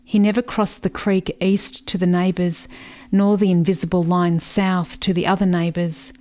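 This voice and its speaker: An actress in a radio play playing a grieving, watchful country sister, narrating in a reflective, measured way. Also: female voice, lowish voice, level tone, dark tone, lightly acted. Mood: wistful.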